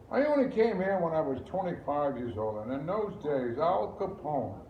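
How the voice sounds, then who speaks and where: A man playing a wry, reflective Italian-born immigrant lawyer in a theatrical, musing stage monologue with deliberate pauses. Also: fairly high pitch. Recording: muffled, echoey room with faint noise.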